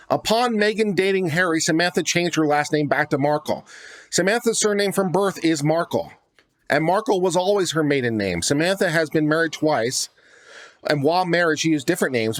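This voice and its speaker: A man's voice: a little high, no echo. A man hosting a legal commentary channel, reading a document aloud and breaking off into casual, sardonic asides and rhetorical questions. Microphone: close studio microphone.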